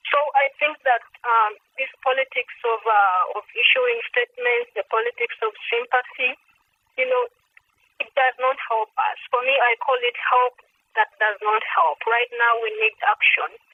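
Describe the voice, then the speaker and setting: A woman's voice: fairly high pitch, moderate pace, breathy edge. A woman from Uganda with a Ugandan accent, speaking earnestly as an activist guest and repeating phrases for emphasis, over a telephone conference line. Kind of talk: earnest advocacy talk on a conference call. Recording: tinny, noisy phone conference line.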